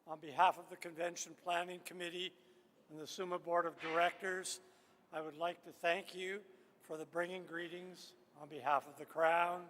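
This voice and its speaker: A man's voice: on the high side, fairly bright tone. A man chairing a convention, delivering formal ceremonial opening remarks in a large echoing hall. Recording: reverberant hall, PA sound.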